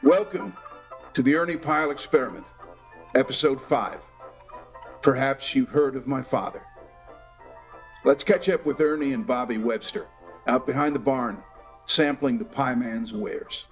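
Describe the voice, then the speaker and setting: A man playing a reflective, wry narrator, telling a scripted period radio drama in measured fashion. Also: medium pitch, faint music behind, close to an everyday voice, low-quality recording.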